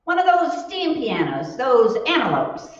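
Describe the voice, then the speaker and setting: A woman playing a sensible, teasing, gently scolding wife in a 1940s radio comedy. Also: sits high, huge pitch swings, a little slow, exaggerated voice. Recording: muffled, echoey room, far from mic.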